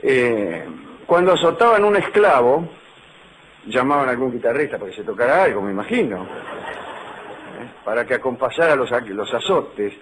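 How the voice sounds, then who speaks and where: A man's voice: medium pitch, big pitch swings, moderate pace, fairly bright tone, a little hiss or hum, poor audio. A middle-aged man with a Rioplatense Spanish accent, hosting a radio show as a humorous lecture with dry, digressive asides. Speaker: radio host.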